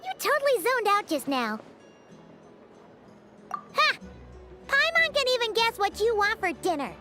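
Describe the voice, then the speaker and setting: A tiny, cheeky video game companion, chatters in an extremely high, squeaky voice. The delivery is perky and childlike, leaping around in pitch over soft background music. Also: female voice, big pitch swings, a little slow, full character voice. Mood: excited, teasing. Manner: perky, exclamatory, refers to self in third person. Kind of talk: video game character acting.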